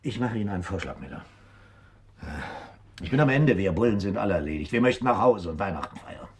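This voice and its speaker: An actor playing a stern, driven New York police captain in a German radio drama, insistent like an interrogator. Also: male voice, on the low side, very animated, fast. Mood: weary bargaining.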